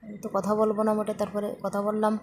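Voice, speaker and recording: A woman's voice: mid-range voice, monotone, fast, darkish tone. Young woman, noisy phone-call recording. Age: young adult. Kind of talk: casual phone chat.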